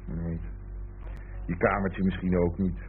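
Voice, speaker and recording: An actor playing a lazy, flippant young boyfriend in a radio play, teasing and rambling nonchalantly. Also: male voice, low-pitched, level tone, slow pace. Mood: teasing, musing. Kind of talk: Dutch radio play acting. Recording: tinny, hissy old radio recording.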